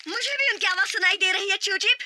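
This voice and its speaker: A woman's voice: very high voice, fast talker. A woman voicing a young jungle boy, brave, playful and reassuring in a cartoon. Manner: eager, reassuring, bold.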